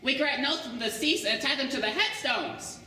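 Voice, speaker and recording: A man's voice: very high voice, fairly quick, sharp tone, a little hiss or hum, voice pushed up, exaggerated voice. A young man voicing a nerdy teenage boy who giggles while he lays out a prank. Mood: excited. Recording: echoey room, far camera.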